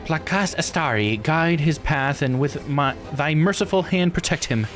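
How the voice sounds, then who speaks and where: Man voicing a high, solemn curse-warding mystic. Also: normal speed, fairly bright tone, faint music behind, lightly acted. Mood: solemn, incantatory. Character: solemn protective mystic who wards off curses. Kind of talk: visual novel character read-aloud.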